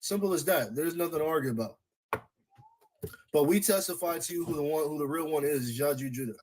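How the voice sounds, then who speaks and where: A man's voice: a little high, fairly quick, background noise. A man preaching in a religious debate, insistent and repetitive, cutting in to keep the floor.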